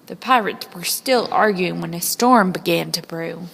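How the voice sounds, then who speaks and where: A woman reading a children's story aloud in a dramatic, deliberate storytelling manner. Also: on the low side, gravelly, a bit bright, breathy edge. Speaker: storybook reader. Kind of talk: scripted children's story narration, read aloud expressively. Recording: long hall-like reverb.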